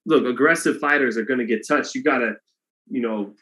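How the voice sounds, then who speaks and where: Monotone male boxing livestream host. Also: mid-range voice, a little fast. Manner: monotone delivery, self-described; loose and rambling, many restarts. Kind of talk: casual livestream chat, reading donations.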